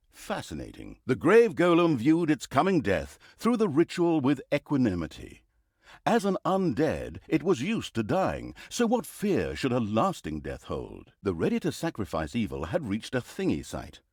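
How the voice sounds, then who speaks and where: An actor playing a pompous, mocking narrator of an evil fantasy video game, with theatrical storybook delivery and dry sarcasm. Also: male voice, medium pitch, wildly swooping pitch, normal speed, a little mellow, breathy edge, no room sound, exaggerated voice. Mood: arch, mocking. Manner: arch, dryly sarcastic, dramatic emphasis.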